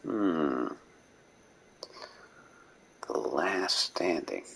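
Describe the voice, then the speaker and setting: Gravelly-voiced male streamer, slow and lively in pitch, thinking aloud over his game. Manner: musing, halting, reads text aloud. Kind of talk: casual live game commentary and voicing characters.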